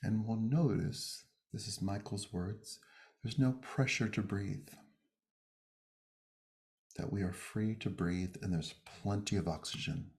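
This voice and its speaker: A man leading a guided meditation and prayer, speaking gently and unhurriedly in short phrases with pauses between them. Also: fairly low pitch.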